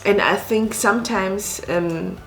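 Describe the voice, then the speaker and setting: Slow-talking woman from Switzerland. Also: medium pitch, gravelly, a bit bright, faint music behind. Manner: speaks slowly, with many fillers and restarts. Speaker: restaurant owner and actress. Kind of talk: casual interview talk.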